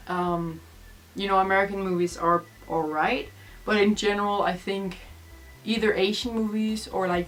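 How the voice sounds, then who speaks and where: A woman's voice: mid-range voice, a bit bright, a little hiss or hum, rough recording. A young woman from Denmark, a native Danish speaker, chatting casually through vlog tag questions in a rambling way with many fillers. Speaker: vlogger.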